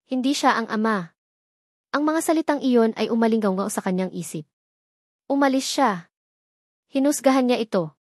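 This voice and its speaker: Slow, measured woman storyteller. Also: on the high side, no room sound, studio quality. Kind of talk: scripted drama narration.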